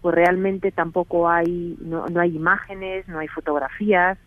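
A middle-aged woman with a Spain Spanish accent, calling in as an interview guest, speaking earnestly in measured phrases with many small restarts. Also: lowish voice, steady tone, no room sound. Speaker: guest, TV production company head. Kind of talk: phone-in interview answers. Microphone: telephone. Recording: tinny phone line.

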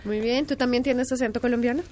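A woman's voice: a little high, fairly even intonation, fast, a little mellow, a little hiss or hum. A woman co-hosting a radio show, teasing her colleagues in casual banter. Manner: playful, teasing. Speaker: radio co-host.

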